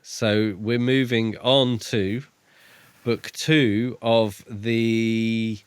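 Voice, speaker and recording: A man's voice: lowish voice, steady tone, drawn-out words, clean tone, no room sound. A man, co-hosting a podcast in a casual book review chat. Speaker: podcast co-host.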